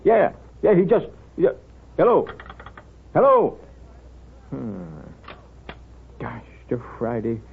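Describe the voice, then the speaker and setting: An actor playing a wry, kindly small-town doctor with a folksy, unhurried manner in a 1930s radio drama. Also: male voice, on the high side, sing-song, vocal fry, slight background noise, exaggerated voice. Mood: troubled. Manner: folksy, wry, unhurried, hesitant drawn-out asides. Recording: thin, tinny 1930s radio recording.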